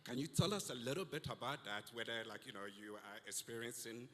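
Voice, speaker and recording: A man's voice: mid-range voice, lively intonation, a little fast, fairly bright tone. A man in the audience asking the panel a polite question, searching for words as he goes. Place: conference hall. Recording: long hall reverb.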